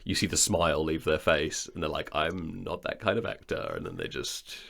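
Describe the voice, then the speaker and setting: A man's voice: rumbling low, big pitch swings, normal speed, gravelly, background noise. A man chatting casually as a co-host, in a rambling, wry, joking way. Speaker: audio drama maker, co-host. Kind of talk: casual studio chat about productions.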